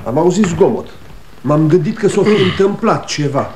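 An actor playing a placid, dry-humoured, kindly neighbor in a 1960 radio drama, plain and unruffled. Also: male voice, a little high, expressive, lightly acted. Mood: calm, dry. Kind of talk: 1960 Romanian radio theatre acting. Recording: echoey, noisy old radio recording.

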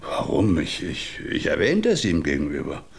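An actor playing a coarse, scolding crime boss who hands out jobs to a hired killer in a radio drama. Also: male voice, low voice, huge pitch swings, normal speed, vocal fry, husky, heavily acted. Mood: businesslike.

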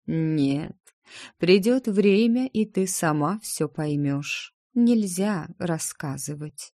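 A woman reading a storybook, voicing a reserved, sad, knowing ghost woman who speaks in a slow, measured, withholding way. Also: on the low side, animated, mellow, no room sound, studio quality.

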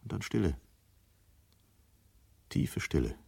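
A man narrating a 1970s German radio play in a grave, deliberate, dramatic manner. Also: rumbling low, sing-song, gravelly, dark tone, husky, heavily acted. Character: grave male storyteller of a city's destruction.

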